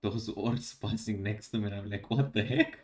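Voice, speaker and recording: A tired man with a Romanian accent chats about a game late at night. His voice sits a little low, and he talks haltingly, pausing and filling gaps as he thinks. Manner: halting, many fillers. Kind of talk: casual gaming chat.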